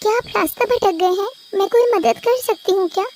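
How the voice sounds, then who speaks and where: A woman's voice: a little fast, a little hiss or hum. A woman voicing a bold, spirited young snake-charmer girl in a cartoon story, with a sassy, teasing delivery. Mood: polite. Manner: sassy, teasing, high and animated.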